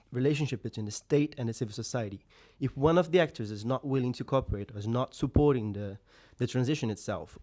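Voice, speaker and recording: A man's voice: mid-range voice, mellow. A young man, a doctoral student, asking a careful question after a lecture, searching for words. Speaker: PhD student. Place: lecture room.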